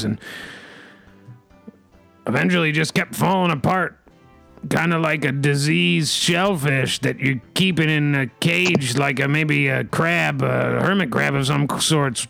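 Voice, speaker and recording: A man's voice: on the high side, vocal fry, fairly bright tone. A man hosting an improvised comedy podcast, rambling in a mock-pompous way with folksy catchphrases.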